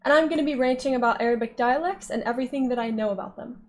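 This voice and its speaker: A woman's voice: fairly high pitch, racing. A woman vlogger talking casually and candidly while playing a game, rambling and joking at her own expense. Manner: chatty, candid, self-deprecating. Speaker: gaming vlogger.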